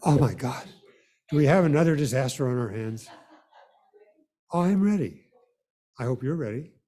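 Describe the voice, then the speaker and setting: A man leading a worship service in an informal, unhurried, gently guiding way. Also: mid-range voice, expressive. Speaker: worship leader.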